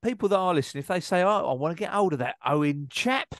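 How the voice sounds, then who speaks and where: Jovial middle-aged man, smooth voice. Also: high-pitched, expressive, fast talker, no echo, clean studio sound. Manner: jovial, wraps things up with a catchphrase. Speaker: podcast co-host. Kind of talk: casual podcast chat. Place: video call. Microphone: headset.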